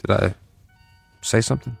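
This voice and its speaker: An actor playing a world-weary, wry government codebreaker in a radio drama, with gravelly, deliberate delivery. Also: male voice, faint music behind, lightly acted. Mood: casual, reassuring. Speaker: narrator and lead actor. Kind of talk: radio drama acting and first-person narration.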